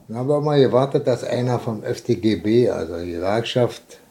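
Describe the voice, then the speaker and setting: A man's voice: medium pitch, expressive, a little fast, dark tone, slight background noise. An older man with a Berlin accent telling an eyewitness recollection in a colloquial, anecdotal way. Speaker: eyewitness, former construction worker. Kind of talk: spoken recollection.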